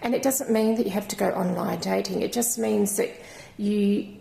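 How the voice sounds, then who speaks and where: A woman with a croaky voice giving a channelled crystal reading in a gentle, rambling, reassuring way. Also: medium pitch, fairly even intonation, normal speed. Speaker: intuitive card reader. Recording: echoey room.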